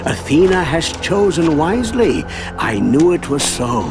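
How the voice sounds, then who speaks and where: An actor playing a cryptic old gravedigger in a video game, wry and knowing. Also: male voice, a little high, big pitch swings, measured pace, over music. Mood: gleeful, knowing. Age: older. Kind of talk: dramatic video game acting.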